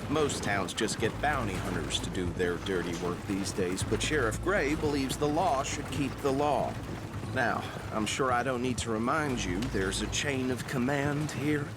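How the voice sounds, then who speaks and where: An actor playing an earnest, chatty small-town deputy in a western game, self-important and folksy, with a Southern US accent. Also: male voice, mid-range voice, normal speed, background noise, close to an everyday voice. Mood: officious, proud. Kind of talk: western video game acting.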